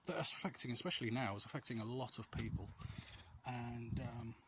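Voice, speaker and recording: A man with a mid-pitched voice records a casual walking vlog outdoors, with clear noise and clicks around him. He talks in a hesitant, rambling way, confiding in listeners and putting himself down a little. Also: fairly quick. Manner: hesitant, rambling, self-deprecating. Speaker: vlog host. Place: outdoors, quiet street and alleys.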